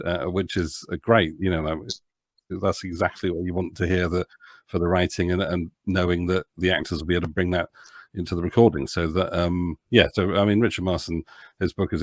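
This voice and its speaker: Very deep-voiced man talking, hesitant and earnest. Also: dry recording, studio quality. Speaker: podcast guest, audio drama producer. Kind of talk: casual interview talk.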